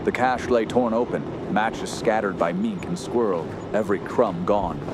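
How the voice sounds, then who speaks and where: Man acting a haunted young man, low-voiced. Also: natural voice. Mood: haunted, solemn. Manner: halting, solemn, haunted. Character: shaken, earnest young woodsman recounting a terror. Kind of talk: radio horror narration and acting. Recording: loud steady outdoor background noise.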